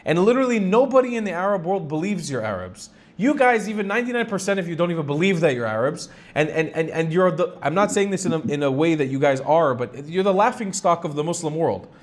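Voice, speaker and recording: A man's voice: on the high side, animated, quick pace, few pauses. A man hosting a live call-in stream, arguing his opinions in a blunt, emphatic, sometimes sweary way. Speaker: live stream host taking calls.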